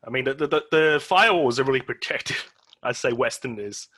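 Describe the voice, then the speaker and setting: A man from Australia hosting a casual interview, speaking haltingly with frequent restarts. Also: mid-range voice, pushed and bright. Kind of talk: casual conversation.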